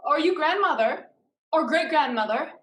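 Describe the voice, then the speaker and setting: A woman's voice: high-pitched, lively intonation, moderate pace. A woman playing a proud, determined young woman, firm and earnest in a theatrical staged reading. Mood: startled, demanding. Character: proud, determined young woman pirate-in-training, devoted to family.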